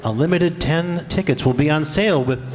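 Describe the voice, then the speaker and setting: A man's voice: on the high side, moderate pace, a little mellow. A man giving a folksy live promotional announcement as the show's announcer. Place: live stage show in a hall. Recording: echoey hall, long reverb.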